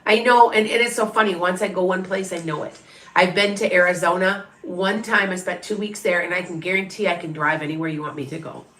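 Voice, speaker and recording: A woman's voice: fairly low pitch, steady tone, fairly quick, a bit bright, roomy sound, rough recording. A middle-aged woman from Wisconsin with an Upper Midwest accent, a psychic medium rambling through a live reading and chatting with her viewers. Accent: Upper Midwest, northwest of Chicago. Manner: rambling, chatty, many restarts and asides.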